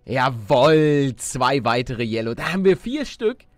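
A man's voice: mid-range voice, big pitch swings, a little slow, dry recording, soft background music. A man hosting a Let's Play, thinking aloud casually in slang peppered with English gaming words. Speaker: gaming video host.

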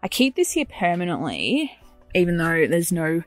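A woman with a low-pitched voice hosts a casual walking tour outdoors. She talks in an informal, chatty way, handing out practical tips. Faint music sits behind her voice. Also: wildly swooping pitch, moderate pace, dry recording. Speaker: host giving a garden tour. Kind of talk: casual walk-and-talk vlog narration. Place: outdoors in a large netted garden run.

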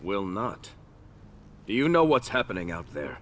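An actor playing a resolute soldier hero in a game, direct and challenging. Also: male voice, fairly low pitch, big pitch swings, moderate pace, slight background noise. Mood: resolute, urgent. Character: urgent, resolute soldier hero, adult male. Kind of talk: dramatic game cutscene acting.

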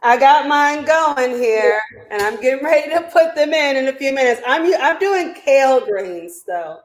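A woman's voice: high voice, lively intonation, normal speed, roomy sound, a crowd in the background, rough recording. A middle-aged woman chatting casually over a video call from her home kitchen, friendly and easygoing. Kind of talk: casual chat. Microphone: laptop or phone video call.

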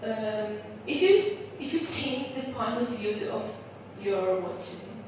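Higher-voiced young Italian-accented woman, echoey. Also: expressive, darkish tone. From Italy. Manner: halting, searching for words. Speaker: audience member asking questions. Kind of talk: informal spoken question. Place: lecture room audience. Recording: very echoey room, distant, noisy.

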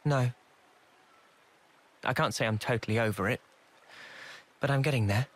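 Actor as a pensive young soldier, mid-pitched. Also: male voice, normal speed, fairly bright tone, lightly acted. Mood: sombre, reflective. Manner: subdued, reflective. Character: gentle, pensive, sombre young male soldier. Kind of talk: video game cutscene acting.

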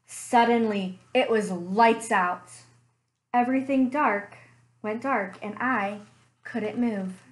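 A young woman reading a picture book aloud for children, slow and expressive with playful sound effects, recorded on a webcam in a small room at home. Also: a little high. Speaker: children's librarian. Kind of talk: storytime read-aloud.

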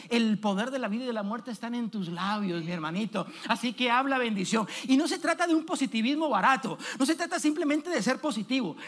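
A man in his thirties preaching from a church stage through a headset mic. He talks in an animated way, calling out questions and waiting for the congregation to answer. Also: very high-pitched. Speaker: evangelist preacher. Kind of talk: energetic sermon.

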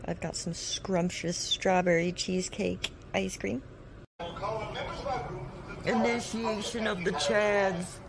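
A woman's voice: mid-range voice, level tone, slow pace, creaky, pauses often, a crowd in the background. A young woman vlogging casually at home, chatty and bubbly with a self-mocking streak. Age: young adult. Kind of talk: casual vlog chat. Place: living room at home. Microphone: phone camera at arm's length.

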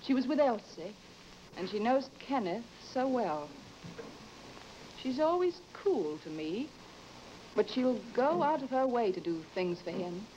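A woman's voice: a little high, sing-song, a little slow, long pauses. A woman playing an anxious, suspicious young wife who is imperious with servants, in an old-time radio horror drama. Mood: agitated, troubled. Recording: dull old radio recording with hiss.

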